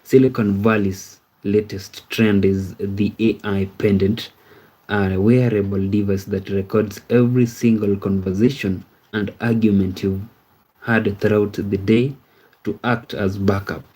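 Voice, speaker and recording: Thirty-something guy on a livestream, low mellow voice, kinda flat, rambles and keeps going "you see?". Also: measured pace, rough recording. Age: 30s. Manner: rambling, repeats words, often asks "you see?". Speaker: livestream host. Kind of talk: casual livestream chat reading viewer comments.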